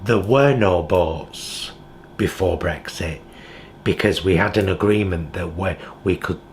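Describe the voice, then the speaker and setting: A man's voice: deep voice, unhurried, slight background noise. A British man talking in a heartfelt, reflective vlog monologue from his home kitchen. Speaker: vlogger.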